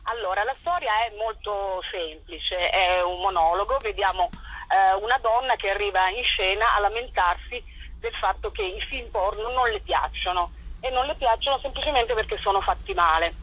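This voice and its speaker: A woman's voice: mid-range voice, normal speed, fairly bright tone, slightly rough, low-quality recording. A woman guest on a radio interview by telephone, explaining and retelling a story conversationally. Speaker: actress and playwright guest.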